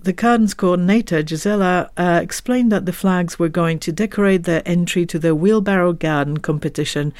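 Calm woman narrator, scripted voice-over, very dry. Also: on the low side, a little fast, few pauses, no room sound. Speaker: interviewer and narrator.

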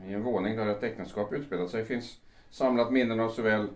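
A man playing a concerned, reasonable lawyer in his late fifties in a radio drama, speaking hesitantly and gently probing. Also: on the low side, steady tone, lightly acted. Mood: earnest. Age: older. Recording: echoey room sound.

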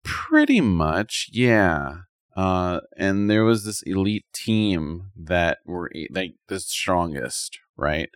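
A man's voice: low-pitched, very animated, slow pace, no echo, clean studio sound. A man co-hosting a podcast in casual chat, rambling a little and thinking aloud as he gives his opinions. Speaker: podcast co-host. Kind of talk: casual chat, reviewing anime.

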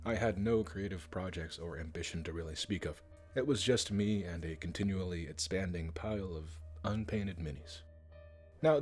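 Male editor, low pitch, scripted testimonial delivery, light music bed. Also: darkish tone. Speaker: publishing editor.

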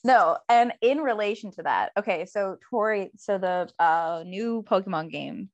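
Young woman, casual chat, sleepy, rambling and giggly, mid-pitched voice. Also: a little slow. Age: young adult. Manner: giggly, rambling, lots of fillers.

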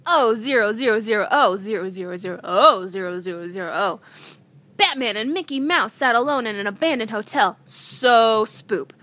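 Girl podcast cohost, high bright voice, goofy mock-serious slangy banter. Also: lively intonation, brisk. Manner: giggly, easily cracks up. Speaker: podcast co-host. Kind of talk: casual banter and comic dramatic reading.

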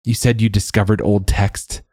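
A man's voice: on the low side, little pitch movement, moderate pace, dry recording, clean studio sound, pitched above the performer's own voice, close to an everyday voice. A man voicing a troubled, guarded nobleman father in a fantasy story, speaking tersely and urgently. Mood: guarded, pressing. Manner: hushed, terse, commanding.

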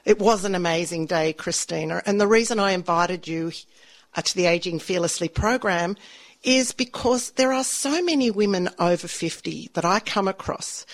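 A woman, hosting an interview show in an upbeat, enthusiastic way. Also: fairly low pitch. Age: 60s. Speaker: podcast host. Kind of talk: scripted intro then interview chat.

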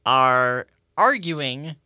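A man co-hosting a casual podcast chat, playful and given to drawn-out exclamations. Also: mid-range voice, lively intonation, sharp tone, clean tone, dry recording, studio quality. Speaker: podcast co-host.

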